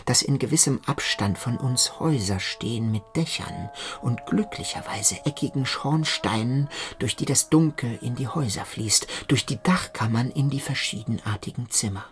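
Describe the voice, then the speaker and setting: An actor playing an earnest, reflective storyteller with a formal, contemplative manner in a literary radio play. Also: male voice, medium pitch, normal speed, few pauses, soft background music, lightly acted.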